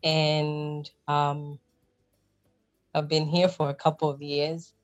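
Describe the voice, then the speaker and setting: Low, level-voiced woman from Zambia. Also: level tone, takes it slowly, no echo, dull audio. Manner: thoughtful, explanatory, with frequent fillers. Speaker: podcast guest. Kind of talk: conversational interview answers.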